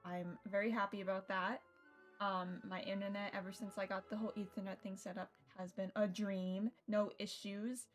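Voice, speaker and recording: Young woman, medium pitch, casual livestream chat, sweary, informal, music behind. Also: little pitch movement, normal speed. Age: young adult. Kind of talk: casual chat to stream viewers while setting up a game. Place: indoors at home computer setup. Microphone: streaming mic with noise gate.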